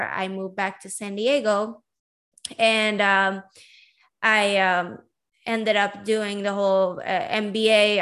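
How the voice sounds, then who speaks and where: A woman's voice: medium pitch, a bit bright. Chatty woman telling her story. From Iran, raised in Sweden. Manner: chatty, many fillers and asides. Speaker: podcast host. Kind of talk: casual personal storytelling.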